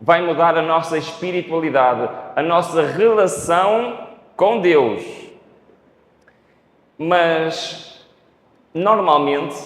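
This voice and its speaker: Unhurried Portuguese-accented preacher in a hall. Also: male voice, fairly high pitch. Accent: European Portuguese. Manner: unhurried, asks the audience questions. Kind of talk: Bible study sermon. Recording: echoey hall.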